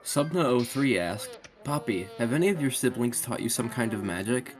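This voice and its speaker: Animated man reading out questions. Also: mid-range voice, normal speed. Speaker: reader of audience questions. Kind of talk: scripted reading aloud.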